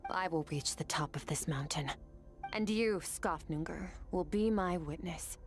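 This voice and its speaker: Low, solemn immortal warrior woman. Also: faint music behind. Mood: resolute. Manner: stoic, measured, philosophical. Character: solemn, aloof, centuries-old immortal female warrior mentor. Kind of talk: dramatic anime game voice acting.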